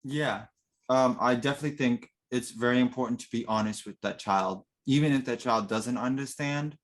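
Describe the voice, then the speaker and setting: A man's voice: medium pitch, normal speed. A man whose first language is Russian, telling his own adoption story in an earnest, reflective interview. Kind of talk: personal interview.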